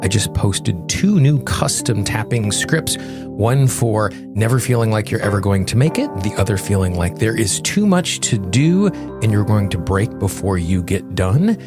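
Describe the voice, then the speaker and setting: A man's voice: on the low side, very animated, normal speed, music in the background. An American man hosting a solo podcast, explaining things and speaking directly to his listeners. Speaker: podcast host.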